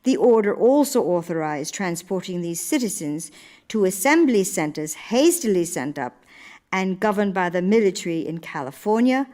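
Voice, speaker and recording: An actress playing a principled, dignified older in live radio theater, speaking in a measured, formal and earnest way. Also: female voice, fairly low pitch, animated, dark tone, lightly acted. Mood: grave, indignant.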